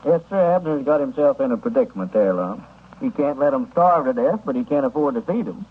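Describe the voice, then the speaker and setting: A man's voice: medium pitch, lively intonation, a little fast, slightly rough. An actor playing an amused, sensible small-town man with a Southern US accent, folksy and incredulous, in 1930s radio comedy. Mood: amused, frank. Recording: tinny, noisy 1930s radio recording.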